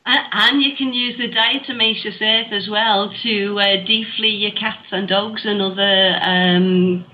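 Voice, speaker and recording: A woman's voice: medium pitch, steady tone, unhurried. A woman with a Scouse accent hosting a casual internet radio chat. She is chatty and laughs often between her points. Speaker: radio show host. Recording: muffled online radio audio with faint hiss.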